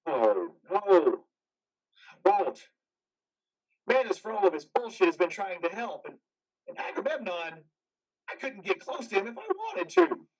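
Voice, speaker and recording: A man playing an exhausted, guilt-ridden army veteran in a dramatic play reading, halting and husky. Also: sits high, very animated, full character voice, low-quality recording. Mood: pleading, resisting. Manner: haunted, halting, confessional.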